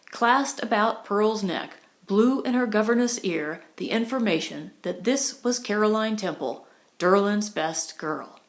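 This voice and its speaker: A woman reading a novel as an audiobook narrator, in a scripted storytelling style. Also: mid-range voice. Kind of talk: scripted narration of a 1920s romantic comedy novel.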